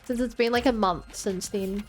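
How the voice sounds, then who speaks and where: A woman's voice: medium pitch, moderate pace, faint music behind. A woman in her twenties streaming a game, rambling and joking as she talks to her chat. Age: 20s. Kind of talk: casual gameplay commentary.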